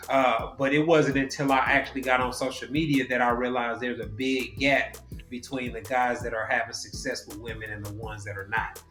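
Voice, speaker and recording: A male podcast host talking in a relaxed, rambling way, full of fillers and restarts. His voice sits in the middle range. The room gives it a noticeable echo, and faint music plays underneath. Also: mid-range voice, normal speed. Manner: loose, relaxed talk with many fillers and restarts. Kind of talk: casual podcast chat.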